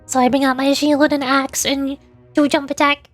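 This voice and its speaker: A woman's voice: high-pitched, little pitch movement, moderate pace, soft background music. A woman livestreamer chatting casually with viewers, rambling and often repeating words as she forms her thoughts. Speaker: VTuber livestreamer.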